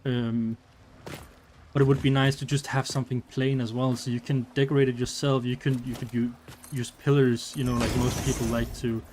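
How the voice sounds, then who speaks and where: A man's voice: mid-range voice, level tone, normal speed, darkish tone, slight background noise. A man from Denmark with a Danish accent, chatting casually on a live stream, rambling with many fillers and restarts.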